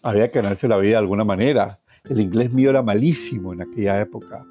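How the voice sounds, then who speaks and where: Low-pitched Colombian man, mellow storyteller. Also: fast, breathy edge, soft background music. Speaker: interview guest telling his life story. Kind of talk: personal storytelling.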